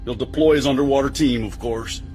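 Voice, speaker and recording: A confident, wisecracking American agent played by a male actor in a radio thriller. His voice is mid-pitched and colloquial, with faint music behind it. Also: a little fast, darkish tone, close to an everyday voice. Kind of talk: radio drama acting.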